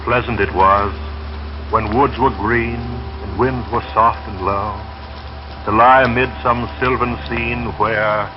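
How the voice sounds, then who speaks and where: A man reading in a measured, soothing way, like a dreamy late-night radio announcer. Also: on the low side. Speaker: radio announcer and poetry reader. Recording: dull vintage radio sound, music behind.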